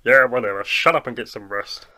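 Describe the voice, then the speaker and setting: A man voicing a blunt, selfish grown man in an animated cartoon, speaking in a brash, dismissive way. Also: mid-range voice, brisk.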